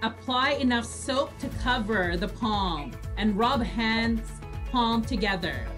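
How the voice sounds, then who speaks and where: Higher-pitched woman hosting, music behind. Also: lively intonation, slow pace, fairly bright tone. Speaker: radio show host. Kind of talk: casual chat, reading out instructions. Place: small indoor home studio, video call.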